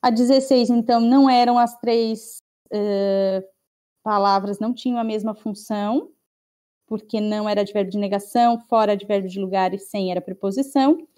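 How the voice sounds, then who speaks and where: A woman's voice: medium pitch, steady tone, normal speed, warm tone. A woman with a Brazilian Portuguese accent teaching an online class, explaining patiently and checking in with her students often.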